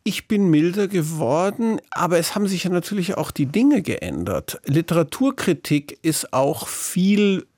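A man's voice: high-pitched, very animated, measured pace, hardly any pauses, a little mellow, no room sound, clean studio sound. A middle-aged man speaking as an author in an interview, thoughtful and searching for his words, often restarting. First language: German. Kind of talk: reflective interview talk.

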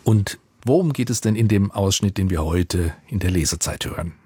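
Low husky male interviewer. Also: normal speed, no room sound. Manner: calm, inquisitive questions. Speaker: radio interviewer. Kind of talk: radio interview.

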